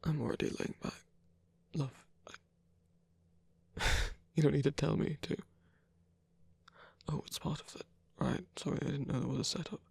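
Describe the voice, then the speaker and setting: A man playing a weary, soft-spoken rebel villain and lover in an intimate ASMR roleplay, speaking haltingly in a breathy near-whisper. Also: fairly high pitch, steady tone, full character voice. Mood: tender, teasing. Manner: hesitant, halting, murmured with pauses.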